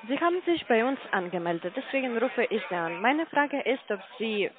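Female call-centre agent, higher register, formal and persistent, narrow phone sound. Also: sing-song, brisk, crowd noise. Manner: formal, insistent. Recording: narrow tinny phone line.

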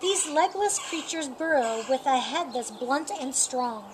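High-pitched woman reading slowly to children. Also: lively intonation. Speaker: storytime reader. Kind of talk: reading a rhyming children's picture book aloud. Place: outdoors in a sunny yard by a painted wall. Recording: outdoor noise with birdsong. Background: birdsong and outdoor ambience.

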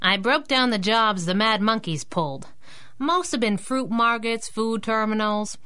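A woman's voice: a little high, a little slow, bright, forceful tone, clear, resonant tone. A woman playing a plucky, wisecracking young sidekick in a 1930s-style radio drama, sassy and slangy.

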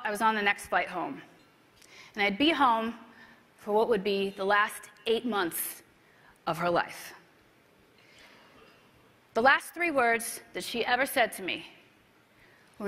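Bright-voiced woman speaker in a hall. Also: fairly high pitch. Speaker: motivational speaker. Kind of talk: rehearsed motivational keynote storytelling. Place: convention stage, indoors. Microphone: clip-on lapel mic. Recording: echoey large hall.

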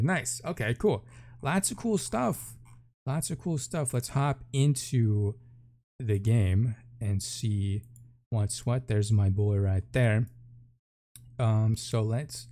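A man's voice: medium pitch, dark tone. A man giving casual, offhand first-look commentary on a video game, thinking aloud as he goes. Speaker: gaming YouTuber.

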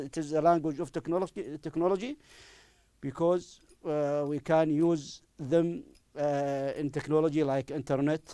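Middle aged guy teaching english, arabic accent, kinda high mellow voice, repeats stuff, super dry sound. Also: clean tone, no echo. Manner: deliberate, repeats phrases for emphasis. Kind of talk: recorded classroom-style lesson. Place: indoor studio in front of a presentation screen. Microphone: lapel microphone.

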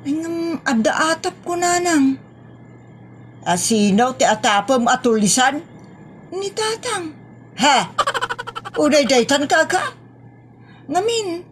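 A woman's voice: high voice, a little hiss or hum. A woman playing a nosy, scolding village woman in a comedy drama, speaking emphatically with an Ilocano accent.

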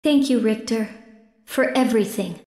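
A woman's voice: fairly high pitch, moderate pace, darkish tone, close to an everyday voice. A woman voicing a sweet, devoted young heroine in a video game, speaking earnestly and tenderly. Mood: concerned, tender. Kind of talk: dramatic video game voice acting. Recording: echoey, reverberant game audio.

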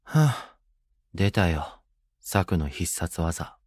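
Intense, deep-voiced possessive delinquent. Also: male voice, very animated, drawn-out words, a little mellow, slightly rough, dry recording, clean studio sound, full character voice. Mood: exasperated. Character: intense, possessive, devoted young male delinquent. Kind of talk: romantic Japanese audio drama.

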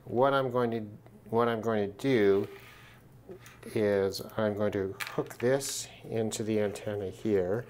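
A man's voice: fairly low pitch, fairly even intonation, slow pace, a little mellow, a little hiss or hum. A man presenting an unscripted hands-on demonstration in a casual, matter-of-fact way, thinking aloud as he goes. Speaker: presenter of a radio gear test video. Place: indoors at a work desk.

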